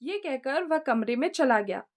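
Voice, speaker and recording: A woman's voice: high voice, lively intonation, unhurried. A woman storyteller narrating a scripted moral tale.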